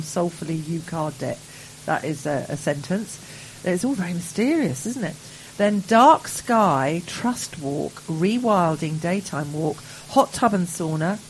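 Chatty woman radio co-host, low voice. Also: slight background noise, rough recording. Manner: chatty, reads listings aloud with playful asides. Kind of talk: casual radio chat. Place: remote link from abroad.

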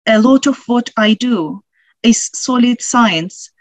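A woman in her forties from Ukraine, speaking English with a Ukrainian accent as a guest expert in a panel discussion. She talks thoughtfully and pauses often with fillers. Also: fairly high pitch, no echo. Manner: thoughtful, hesitant with many fillers. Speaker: guest researcher.

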